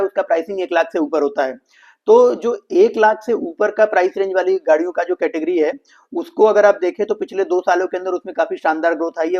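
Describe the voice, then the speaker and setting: Fast-talking Indian man, slightly high voice. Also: darkish tone. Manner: explanatory, addressing viewers as friends, code-mixing English business terms. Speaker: financial analysis presenter. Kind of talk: explainer commentary on an earnings call. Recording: dull lo-fi voice recording.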